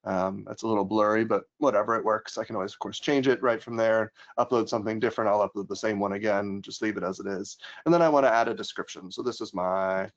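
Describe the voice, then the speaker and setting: An American man working as a trainer, casually walking teachers through software in a webinar, with frequent ums and restarts. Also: lowish voice, fast, hardly any pauses. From United States. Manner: casual, many ums and restarts, upbeat when introducing topics. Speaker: trainer and pedagogical consultant. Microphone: computer mic on a video call. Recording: slightly dull webinar audio.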